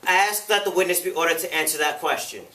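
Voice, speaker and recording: Man acting insistent committee official, stern, formal, pressing questions, dramatic monologue, forceful tone, distant echoey sound. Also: a little high, fast. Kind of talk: dramatic prose interpretation. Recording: echoey room, picked up from far off.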